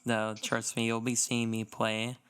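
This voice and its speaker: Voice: medium pitch, completely flat delivery, a little slow, a bit bright, smooth. A young adult co-hosting a gaming podcast, chatting casually and enthusiastically in fan slang. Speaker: podcast co-host.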